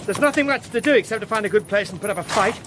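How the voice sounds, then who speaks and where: Fast, high-voiced dashing captain. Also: male voice, expressive. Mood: defiant. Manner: brisk, wry, gallant. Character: dashing, defiant, cool-headed army captain. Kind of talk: audio drama acting. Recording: noisy horse and battle ambience behind.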